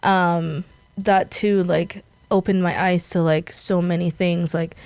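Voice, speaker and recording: A woman's voice: fairly low pitch, steady tone, takes it slowly, a little mellow, clear, resonant tone, dry recording. A young woman from Guyana, a guest talking casually in an interview with lots of fillers and frequent laughs. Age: young adult. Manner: chatty, laughs often, many fillers. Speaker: podcast guest.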